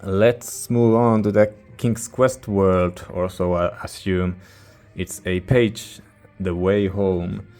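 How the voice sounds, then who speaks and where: A man's voice: sits low, little pitch movement, slow pace, few pauses, darkish tone, soft background music. A man who is a non-native English speaker gives a casual, rambling review as a host, informal and hesitant as he searches for words. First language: not English. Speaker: music reviewer, channel host.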